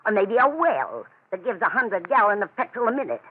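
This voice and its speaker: A woman's voice: medium pitch, very animated, fairly quick. An actress playing a nagging, domineering working-class wife in an old-time radio drama, scolding and sarcastic as she cuts in. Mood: scornful, scolding. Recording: tinny, narrow-band vintage radio recording.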